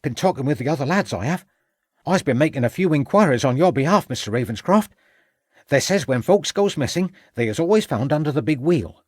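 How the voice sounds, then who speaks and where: Male narrator as cheeky servant boy for an audiobook: raised pitch, swooping, insistent, self-important, ungrammatical street talk. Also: expressive, a little fast, darkish tone, no room sound, studio quality. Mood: eager, insistent. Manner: colloquial, ungrammatical working-class speech, eager.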